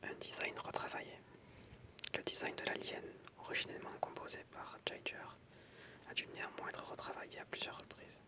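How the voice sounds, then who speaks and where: An ASMR creator whispering in French, reading aloud softly with informal, hesitant asides. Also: a little fast.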